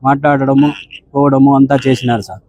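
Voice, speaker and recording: Male, deferential and earnest, relaxed tone, dry close sound, addressing an official by phone. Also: medium pitch, a little fast, dry recording, lo-fi sound. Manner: deferential, often saying sir. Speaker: bereaved father speaking to an official. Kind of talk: earnest account on a phone call.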